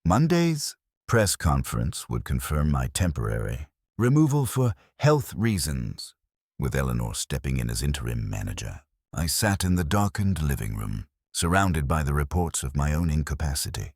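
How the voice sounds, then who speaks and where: Man, very deep bass voice, animated intonation, scripted story narration, deliberate delivery. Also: slightly rough, no room sound, studio quality. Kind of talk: scripted dramatic first-person audiobook-style narration.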